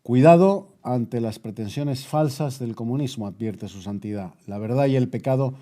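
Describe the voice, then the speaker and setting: A man's voice: mid-range voice, normal speed, dark tone. A man with an accent from Spain, presenting news as a bulletin host.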